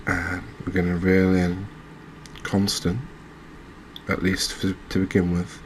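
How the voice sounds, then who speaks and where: Deep-voiced man, quiet ASMR gaming commentary. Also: completely flat delivery, slow, a little hiss or hum, poor audio. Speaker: host of a gaming channel. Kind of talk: soft-spoken ASMR game commentary.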